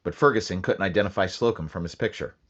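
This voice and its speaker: An actor playing a terse police sergeant who narrates his case in a flat, matter-of-fact way, in 1940s radio acting style. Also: sits low, quick pace, dry recording, lo-fi sound. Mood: briefing.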